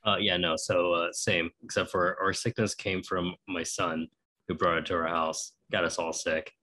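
Low-voiced congested man, chatty co-host. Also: moderate pace. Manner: relaxed, chatty, some filler hesitations. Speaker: podcast co-host. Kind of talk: casual chat. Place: home office with bookshelves. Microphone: video call with desk microphone.

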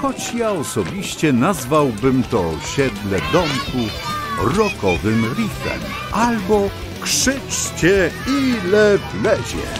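Male storyteller for children's audio tale: whimsical, mock-lofty, hugely animated, music underneath. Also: fairly high pitch, slow pace. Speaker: storyteller narrator. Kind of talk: scripted children's story narration.

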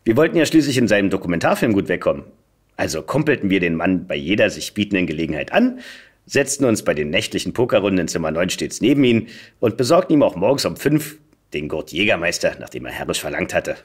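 Animated man reading satire aloud. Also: deep voice, fast, slightly rough. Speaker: podcast guest, voice actor. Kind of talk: expressive reading aloud of a satirical first-person story.

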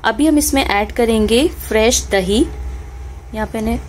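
Woman's higher voice teaching a recipe. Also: measured pace, slight background noise. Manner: friendly step-by-step instructions, often says friends. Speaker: cooking channel host. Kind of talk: home cooking tutorial voice-over. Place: home kitchen stove.

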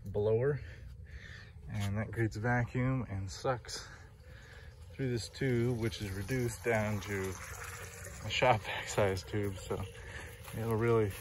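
A young American man giving a plain-spoken, informal vlog narration as a farmer out in his yard, talking into a handheld phone. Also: lowish voice. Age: young adult. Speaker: farmer vlogger. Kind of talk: casual vlog narration while showing farm work. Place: outdoors on a farm among grain bins and machinery. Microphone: handheld phone at arm's length. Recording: muffled phone audio, loud machinery and outdoor noise.

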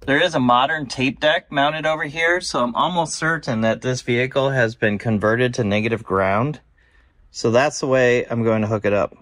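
A man in his thirties hosting a car restoration video, calmly and methodically explaining his work in a large indoor garage. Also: medium pitch. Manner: calm, methodical, matter-of-fact. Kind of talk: explanatory workshop walkthrough.

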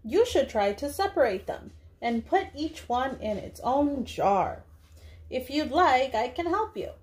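A young woman, a preschool teacher, speaking to small children in a deliberate read-aloud style with drawn-out words. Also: high voice, sing-song. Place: small indoor room.

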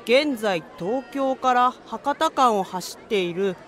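A gentle, naive anime schoolboy. He talks slowly and airily in a bright voice, with a faint crowd around him. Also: on the high side, expressive. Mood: innocent, puzzled. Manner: airy, unhurried. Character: gentle, naive, slow-witted schoolboy on the phone.

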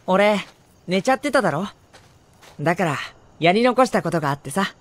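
A woman's voice: mid-range voice, moderate pace, lightly acted. A woman playing a cheerful, eager, earnest teenage boy hero in a Japanese game. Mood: calm, determined. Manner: earnest, boyish, excitable. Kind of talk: Japanese game cutscene acting.

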